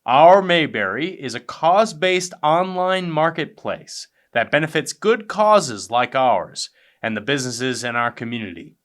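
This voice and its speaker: A man's voice: on the high side, lively intonation, unhurried, fairly bright tone. A man reading a scripted closing announcement as the announcer.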